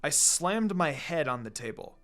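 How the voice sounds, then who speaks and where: An expressive reader and channel host narrating anime fan fiction in a casual, storytelling style. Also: mid-range voice, unhurried. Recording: background music.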